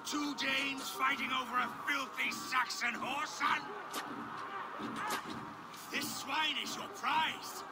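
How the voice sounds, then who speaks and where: An actor playing a brutal Danish warlord villain in a video game, taunting and full of contempt. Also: male voice, very high-pitched, fairly even intonation, slow pace, pauses often, over loud music. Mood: taunting rage. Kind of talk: dramatic video game acting.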